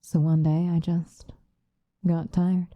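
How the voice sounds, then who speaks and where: Woman playing a dark, hushed, seductive vampire. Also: on the low side, little pitch movement, no echo. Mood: somber, resigned. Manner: soft, breathy, drifting into whispers, unhurried. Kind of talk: ASMR roleplay, intimate confessional monologue. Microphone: close microphone, dry.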